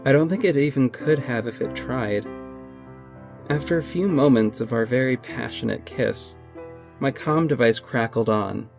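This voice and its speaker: A man's voice: mid-range voice, normal speed, creaky, darkish tone, lightly acted. An actor playing an earnest masked superhero who narrates his own adventures in an audio drama. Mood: earnest, dramatic. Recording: music under the voice. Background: music.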